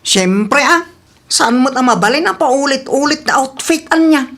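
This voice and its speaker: A man's voice: very high-pitched, lively intonation, measured pace, a bit bright, exaggerated voice. An actor playing a shifty, cheerful village man in a radio comedy drama, talking evasively with nervous laughter. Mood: breezy, evasive.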